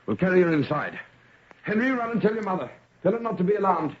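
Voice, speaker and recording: A man playing a grave, troubled gentleman father in an old-time radio drama, solemn and melodramatic. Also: sits high, animated, fast, a little hiss or hum. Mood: alarmed, commanding. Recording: dull, muffled old radio recording.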